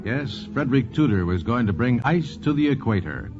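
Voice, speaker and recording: A man's voice: medium pitch, very animated, normal speed. A man narrating a scripted 1940s radio program as host, with polished storytelling and dramatic emphasis. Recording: muffled 1940s radio transcription. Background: music.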